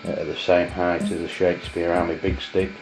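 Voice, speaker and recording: A man with an English accent giving a casual hands-on product review in a halting, matter-of-fact way. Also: low voice, little pitch movement, vocal fry, over music. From England. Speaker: amateur radio reviewer. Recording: dull, lo-fi camera sound.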